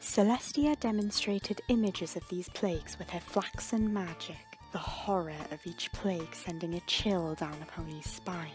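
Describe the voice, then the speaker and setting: A woman storyteller reading scripted fiction dramatically, with suspenseful, breathy phrase endings. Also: on the low side, moderate pace, few pauses, a little mellow, faint music behind.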